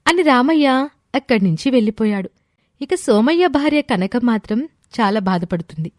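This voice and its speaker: A woman narrates a scripted moral story. Her voice sits a little high. The recording is very dry, with no room echo. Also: animated, normal speed.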